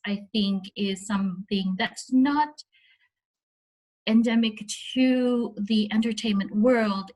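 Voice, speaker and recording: Female panellist: husky, mid-pitched, candid and reflective in conversation. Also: darkish tone, rough recording. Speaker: founder and artist, panellist. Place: online video call.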